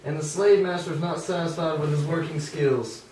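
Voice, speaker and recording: A man's voice: a little high, fairly even intonation, normal speed, a little mellow. A man reading scripted narration for an amateur history puppet show. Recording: roomy, distant, noisy amateur recording.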